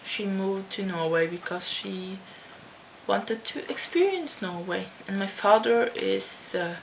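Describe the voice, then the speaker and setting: A teenage girl from the Netherlands answers tag questions at her home computer, slow and halting, with many restarts. Her voice has a gravelly, creaky edge. A faint computer-fan hum sits behind her webcam audio. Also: medium pitch, low-quality recording. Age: teens. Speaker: vlogger. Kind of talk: casual talk to camera answering a tag.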